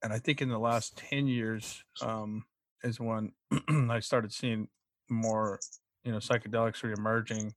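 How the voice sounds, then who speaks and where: A young man in casual chat, speaking haltingly with many restarts and frequent throat clearing. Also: fairly low pitch, level tone, dry recording.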